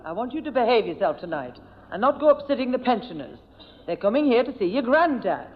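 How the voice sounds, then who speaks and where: A woman's voice: a little high, very animated, fairly quick. A woman playing a scolding but indulgent mother in a 1970 radio sitcom, bossy in manner. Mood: exasperated. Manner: bossy, scolding, broad comic delivery. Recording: noisy dull radio recording, studio audience, reverb.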